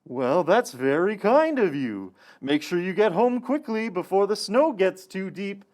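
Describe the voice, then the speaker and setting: An actor voicing a kindly, caring forest animal in a children's puppet show, with a gentle, expressive delivery. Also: medium pitch, unhurried, natural voice. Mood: friendly, concerned.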